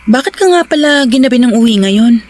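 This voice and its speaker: An actress playing a caring, quietly suspicious wife and mother in a Tagalog radio drama, with a gentle, homely way of talking. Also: a little high, lively intonation, fairly quick. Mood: probing, uneasy.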